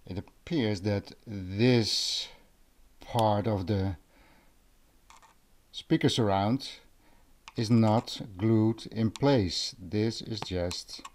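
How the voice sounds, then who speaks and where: A man talking casually as a vlog host, explaining things in a musing, unhurried manner while filming indoors. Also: fairly low pitch, darkish tone, slight background noise. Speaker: audio vlogger, host. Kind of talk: casual vlog talk over handheld footage. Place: living room with carpet and couch, indoors.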